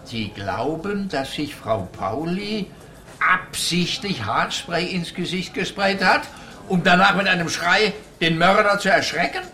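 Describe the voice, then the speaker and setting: A man playing a pompous, sarcastic, slow-witted police detective in a comic radio play, speaking officiously and incredulously. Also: high voice, animated, bright, forceful tone, full character voice. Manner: incredulous, officious, dramatically drawn-out phrasing.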